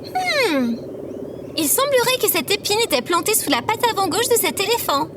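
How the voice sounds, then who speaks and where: A woman's voice: extremely high pitch, very animated, moderate pace, heavy background noise. A woman playing a polite, naive, literal-minded female AI companion in a comedic audio drama. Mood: eager, matter-of-fact. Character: female robot or AI companion, naive, blithely unapologetic.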